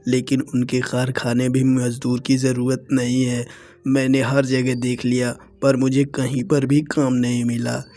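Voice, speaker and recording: A man's voice: medium pitch, darkish tone, close to an everyday voice. A man voicing a troubled, poor young villager seeking work in a moral-story cartoon, earnest and pleading.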